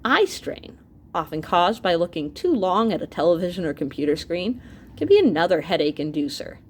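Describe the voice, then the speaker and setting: Animated woman teacher reading aloud. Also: on the low side, normal speed, a little hiss or hum. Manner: animated, with asides and exclamations to listeners. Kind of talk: expressive children's educational read-aloud.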